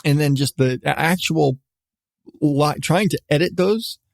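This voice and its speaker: A middle-aged man hosting a casual solo podcast, talking in a rambling, halting way with repeated restarts. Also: fairly high pitch, gravelly, no echo, clean studio sound. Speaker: podcast host.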